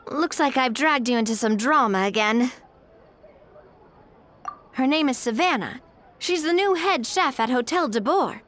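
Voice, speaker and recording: A woman's voice: sits high, expressive, unhurried, pauses often, fairly bright tone, slight background noise. An actress playing an earnest, principled young woman in a video game, with a frank, conversational manner. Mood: weary, explaining.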